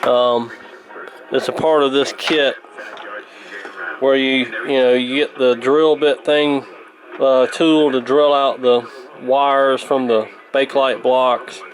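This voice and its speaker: A man's voice: medium pitch, little pitch movement, takes it slowly, poor audio. A man giving a casual, unscripted repair demonstration in a folksy, rambling, hesitant way. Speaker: host of a vintage radio repair channel.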